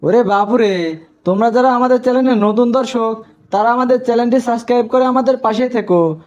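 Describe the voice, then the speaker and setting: Cartoon granddad played by a man: old, cheeky, teasing, extremely high voice, dull lo-fi sound. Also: a little fast, a little mellow, faint music behind. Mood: exclamatory. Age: older. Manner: exclamatory, joking, repeats a catchphrase. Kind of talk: scripted cartoon comedy.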